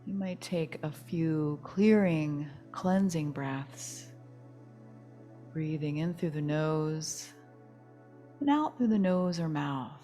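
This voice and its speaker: A woman teaching yoga nidra, leading a guided relaxation meditation in a soothing, gentle, unhurried manner. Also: deep voice, soft background music.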